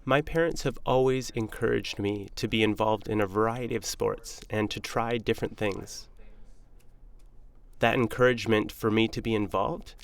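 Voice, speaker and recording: A man's voice: on the low side, steady tone, moderate pace, creaky. A man delivering first-person personal testimony.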